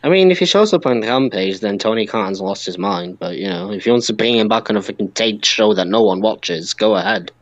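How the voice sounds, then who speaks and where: A man's voice: on the low side, expressive, fairly quick, few pauses, dull audio. A man co-hosting a podcast, talking casually and informally about wrestling with other hosts. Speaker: podcast co-host.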